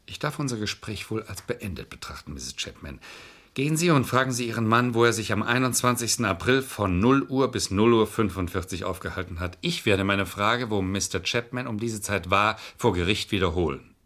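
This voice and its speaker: An actor playing an insistent, calculating lawyer in a vintage crime radio play, speaking formally and pressing his points in a measured way. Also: fairly low pitch, fairly bright tone, close to an everyday voice.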